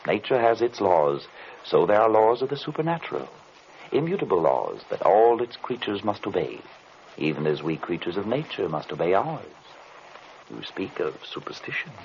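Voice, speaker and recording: Learned occult sleuth, widely swinging intonation, formal, brooding, theatrical, radio play, dull old recording. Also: male voice, low-pitched, big pitch swings, creaky, heavily acted. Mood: ominous, musing. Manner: formal, deliberate, theatrical, rhetorical questions. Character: enigmatic learned male occult investigator, courteous and brooding. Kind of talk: old-time radio drama acting. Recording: muffled, lightly noisy vintage radio recording.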